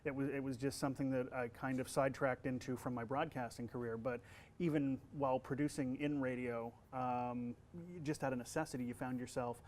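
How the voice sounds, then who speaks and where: A man with a youthful-sounding voice talks through interview questions in an easygoing, chatty way, often pausing on fillers and starting his sentences over. His pitch sits in an ordinary middle range, and a faint ambient hiss hangs behind him. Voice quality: young-sounding voice. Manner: relaxed, many fillers and restarts. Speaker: voice actor and college instructor. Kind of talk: casual interview answers.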